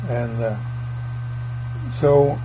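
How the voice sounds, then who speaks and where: A folksy older man with a drawl wanders through his family's story in a plain, country way. His voice sits at an ordinary middle pitch. The recording is dull and lo-fi, with a steady mains hum running underneath. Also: extremely slow. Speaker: oral history interviewee. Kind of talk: rambling family reminiscence.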